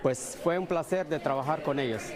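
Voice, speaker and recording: Guy giving halting interview answers, lots of ums, crowd noise around. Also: a little high. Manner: hesitant, halting with fillers. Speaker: community radio founder. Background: crowd chatter.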